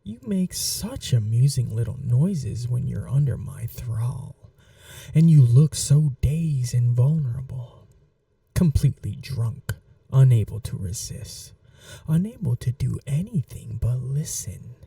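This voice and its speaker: A possessive, seductive vampire noblewoman, acted by a woman murmurs in a very dark, velvety voice that often drops to a breathy whisper. Her delivery is slow and languid, teasing and coaxing as in an intimate ASMR roleplay. The sound is close and dry. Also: a bass voice, animated, no echo, far from the mic, exaggerated voice. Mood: hypnotic, coaxing.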